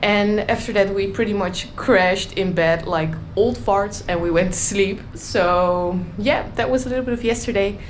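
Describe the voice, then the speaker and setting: A woman travel vlogger chatting casually to the camera, slightly croaky from just waking up, at a holiday resort. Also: medium pitch, normal speed, few pauses, slight background noise. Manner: chatty, relaxed. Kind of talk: casual vlog talk to camera.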